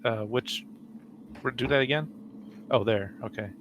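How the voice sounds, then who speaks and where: A man's voice: medium pitch, normal speed, lo-fi sound, slight background noise. A man talking casually as a player in a tabletop roleplaying game.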